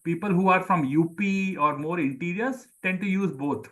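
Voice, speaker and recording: A man's voice: sits high, normal speed, a bit bright. A man, probably middle-aged, with an Indian accent and Sindhi as his mother tongue, teaching a language class online, repeating words and questioning pupils. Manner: repeats words for pupils, calls on them by name. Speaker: Hindi teacher. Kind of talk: live online group lesson, questioning pupils and explaining.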